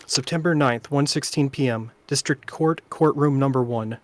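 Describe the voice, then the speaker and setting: A man's voice: medium pitch, moderate pace, noisy background. A man narrating a video game playthrough, reading out on-screen text in an amateur way.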